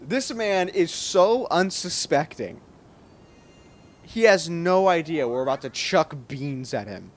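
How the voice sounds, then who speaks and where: Male gaming host, young, fairly high voice, casual and joking, very varied intonation. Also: lively intonation, slow pace. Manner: reactive, exclamatory, joking. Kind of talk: casual playthrough commentary. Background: game music.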